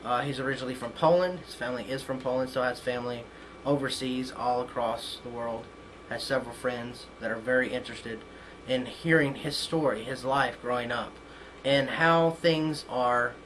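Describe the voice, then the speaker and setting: Young man, interview host. Announcer-style formal intro, medium pitch, slightly noisy recording. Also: moderate pace, fairly bright tone. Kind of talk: show introduction.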